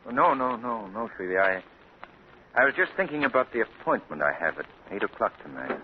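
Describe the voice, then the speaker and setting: A polished gentleman with a lowish, measured voice, guarded and a little tense. The sound is thin and tinny, with clear hiss, as on a 1940 radio broadcast. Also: very animated. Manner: measured, polished, with small hesitations. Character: composed wealthy gentleman, secretive, tense.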